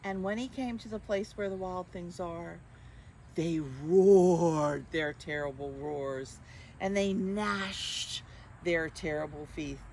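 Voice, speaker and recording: An older woman hosting storytime for young children, playful and expressive as she addresses them directly. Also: lowish voice, slow, slight background noise.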